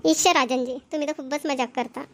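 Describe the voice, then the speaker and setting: A woman's voice: high voice, steady tone, quick pace. A woman voicing a caring, dutiful young newlywed wife in a cartoon family comedy, with a Varhadi Marathi accent from Vidarbha. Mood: affectionate.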